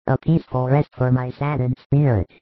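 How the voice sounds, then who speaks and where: A man voicing a gentle, grave religious master, earnestly exhorting his disciples in a storybook reading. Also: medium pitch, flat delivery, normal speed, no room sound, natural voice. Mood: tender. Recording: thin phone-like band, dry.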